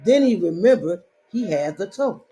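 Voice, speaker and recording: A woman reading a children's story aloud in a dramatic, deliberate way, drawing out her phrases. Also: medium pitch. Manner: deliberate, expressive, drawn-out phrasing.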